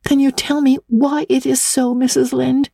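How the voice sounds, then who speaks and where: A woman's voice: sits high, little pitch movement, normal speed, vocal fry, dark tone, no echo, studio quality, voice pushed up. A woman voicing an earnest, dramatic, impulsive young girl with breathless, pleading delivery, in a classic audiobook reading. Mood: mournful.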